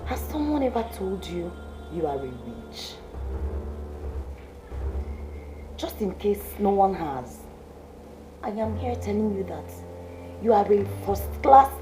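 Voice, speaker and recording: A woman's voice: medium pitch, a little mellow. An actress playing a defiant young woman who confronts her friend's cruel sister. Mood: accusing. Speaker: actress in a family drama. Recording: echoey room with music behind.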